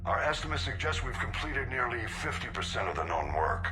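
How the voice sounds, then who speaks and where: An actor playing a grave, older admiral in a video game, giving formal, measured briefings with steady resolve. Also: male voice, mid-range voice, over music, lightly acted. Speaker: fleet commander.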